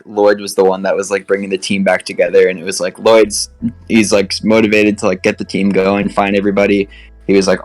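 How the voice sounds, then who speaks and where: Guy w low monotone voice, podcast panel fan chat, says like a lot, faint music. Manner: filler-heavy, heavy use of "like". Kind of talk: casual fan discussion.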